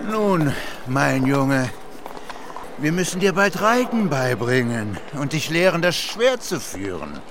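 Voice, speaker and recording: Domineering royal uncle, gravelly creak, swooping intonation, bullying, children's audio drama, music behind. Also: male voice, a little high, big pitch swings, takes it slowly, noisy background, exaggerated voice. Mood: condescending, probing. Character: stern, menacing royal uncle, a usurper king. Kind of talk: children's radio play acting. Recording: music under the voice.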